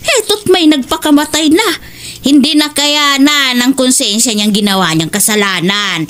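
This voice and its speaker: A woman's voice: high-pitched, normal speed, a bit bright. A woman playing a superstitious, vengeful older Filipino mother in a horror audio drama, speaking in a dramatic, insistent way. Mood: stubborn conviction.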